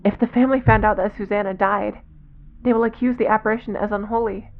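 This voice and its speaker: A woman's voice: medium pitch, fairly even intonation, quick pace, gravelly, phone-quality sound, a little hiss or hum, close to an everyday voice. Solemn devout cult leader, read by a woman. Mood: firm, insistent. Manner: declarative, instructing, stilted formal phrasing.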